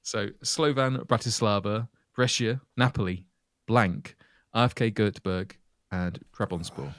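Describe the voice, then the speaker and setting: A man hosting a football quiz on a casual podcast, reading out clues in steady lists. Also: on the low side, unhurried, dry recording. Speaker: quiz host.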